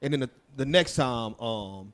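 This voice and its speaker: A man's voice: medium pitch, expressive, moderate pace, a bit bright, clear, resonant tone. A man co-hosting a podcast, telling a long story in a casual, laughing manner with lots of swearing. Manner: laid-back, laughing, swearing, acts out quoted voices. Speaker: podcast co-host.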